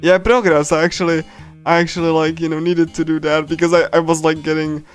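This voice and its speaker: A man with a slightly high voice gives casual live commentary while playing a visual novel. He rambles, restarts and repeats phrases as he reacts. Soft guitar music from the game plays behind him. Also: level tone, fairly quick, clear, resonant tone.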